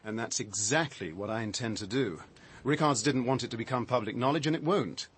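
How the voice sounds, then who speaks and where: An actor playing a shaken, defensive man with a halting, reflective, dry delivery in a radio drama. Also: medium pitch, a bit bright, slightly rough. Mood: cool, grim. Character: shaken man recounting his discovery, defensive, sardonic.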